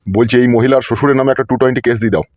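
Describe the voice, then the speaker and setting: A man's voice: mid-range voice, very fast, dull audio, noisy background, natural voice. A man voicing a foul-mouthed, bullying police officer in a comedy cartoon, scolding and domineering. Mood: stern, questioning. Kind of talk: Bengali comedy cartoon dubbing.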